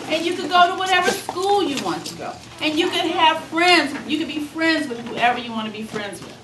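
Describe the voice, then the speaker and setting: A woman's voice: high-pitched, fairly quick. An American woman author talking and reading aloud to young children, explaining things simply and often asking them questions. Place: school room with young children. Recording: echoey room, distant mic, background noise.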